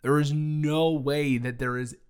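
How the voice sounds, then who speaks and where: A young American man improvising a casual roleplay chat, talking emphatically and haltingly, repeating words for stress. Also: on the high side, fairly even intonation, smooth. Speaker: audio roleplay performer.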